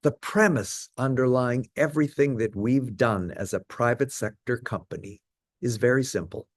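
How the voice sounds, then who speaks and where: A man in his seventies with a Canadian accent, giving a measured prepared talk as guest speaker. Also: mid-range voice, no echo, clean studio sound. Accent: Canadian English.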